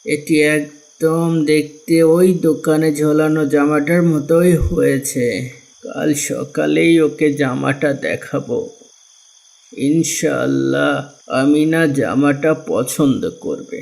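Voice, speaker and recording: A man's voice: on the high side, slow, gravelly, darkish tone. A man voicing a kind, poor old grandfather who sells dates in a cartoon. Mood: pleased, tender. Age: older. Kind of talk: cartoon dialogue.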